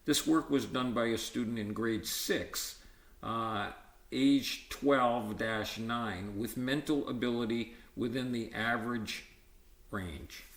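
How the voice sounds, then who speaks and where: A man reads aloud from an educational book in a roomy, echoing space. His voice sits at a medium pitch, and his delivery is measured and explanatory, with the odd stumble and self-correction. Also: fairly bright tone. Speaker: volunteer-style book reader. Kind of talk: reading a textbook aloud with informal asides. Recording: roomy, echoey sound.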